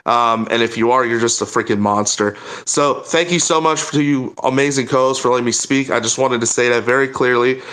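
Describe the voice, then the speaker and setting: A man speaking in a live audio space, making a fervent political statement with halting restarts and filler ums. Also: mid-range voice, steady tone, a bit bright.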